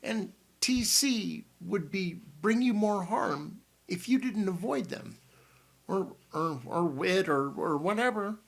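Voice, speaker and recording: A man's voice: high-pitched, animated, slow, gravelly, voice pushed up, heavily acted. A man reading an audiobook and voicing a fussy, flustered middle-aged aunt who rambles breathlessly. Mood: chatty.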